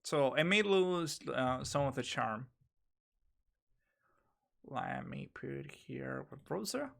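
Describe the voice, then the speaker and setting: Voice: mid-range voice, slow, gravelly. A streamer who is a non-native English speaker, chatting casually and hesitantly while playing a game. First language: not English. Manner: relaxed, hesitant with fillers.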